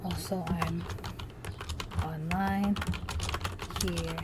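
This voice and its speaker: A woman's voice: fairly low pitch, fairly even intonation, drawn-out words, heavy background noise. A young woman from the Philippines chatting casually as she draws on a livestream, rambling with lots of fillers and restarts. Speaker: artist streaming while drawing.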